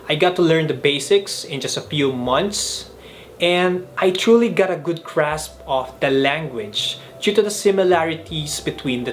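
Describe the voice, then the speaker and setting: A young man from the Philippines, Filipino his first language, telling in a measured, earnest way how he learned languages on his own. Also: fairly high pitch, a little hiss or hum. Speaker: language vlogger. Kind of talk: scripted vlog narration.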